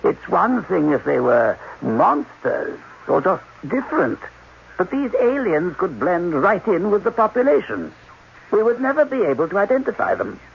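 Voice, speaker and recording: An actor playing an authoritative, evasive general in 1980s radio drama, speaking in a measured, formal, commanding way. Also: male voice, high-pitched, sing-song, gravelly, full character voice. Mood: grave, evasive. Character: authoritative alien army general, diplomatic, evasive, weary of questions. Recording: dull, noisy old radio broadcast.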